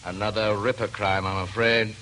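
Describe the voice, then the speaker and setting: Composed police inspector, bright forceful voice, formal and courteous, slightly noisy vintage radio drama. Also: male voice, on the low side, little pitch movement, moderate pace. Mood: formal, grave. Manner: formal, matter-of-fact. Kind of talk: 1940s radio drama acting. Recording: noisy old 1940s radio broadcast.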